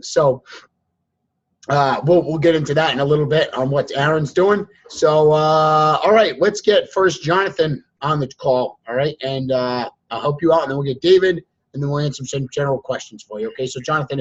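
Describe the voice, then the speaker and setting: A hoarse man with laryngitis hosting a live group call, coaching students in a blunt, lecturing way. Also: on the high side, fairly even intonation, a little fast. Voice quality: husky, strained. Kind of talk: casual coaching chat. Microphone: online call. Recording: dull lo-fi sound.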